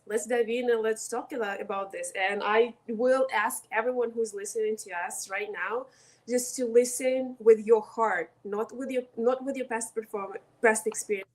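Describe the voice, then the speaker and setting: A woman's voice: fairly high pitch, rough recording. A woman from Ukraine with a Ukrainian accent, speaking as a podcast guest in an earnest, emphatic way. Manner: earnest and emphatic, stresses words one at a time. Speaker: guest, coach. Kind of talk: podcast interview.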